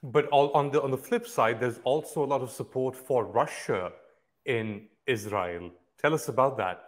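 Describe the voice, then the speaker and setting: A man's voice: medium pitch, normal speed. A man hosting an interview, asking direct follow-up questions. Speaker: interview host.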